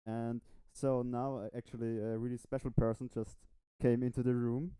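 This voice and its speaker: A man's voice: medium pitch, level tone, moderate pace, a crowd in the background. Hesitant man hosting a livestream. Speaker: livestream host.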